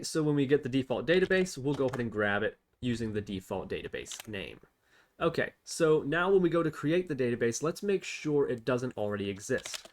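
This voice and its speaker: A male tutorial host with a mid-range voice walks through code in an unscripted way. He reasons out loud one step at a time as he types, speaking as an instructor to people following along. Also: medium pitch, slight background noise. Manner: thinks aloud step by step while typing. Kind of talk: unscripted screen-recorded coding tutorial.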